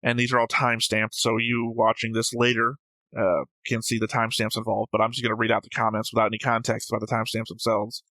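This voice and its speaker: Man, forceful bright voice, very dry close sound, casual show host, argumentative, goes off on tangents. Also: on the low side, monotone, quick pace, no echo, poor audio. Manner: argumentative, digressive, self-correcting. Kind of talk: casual discussion, reading out viewer comments.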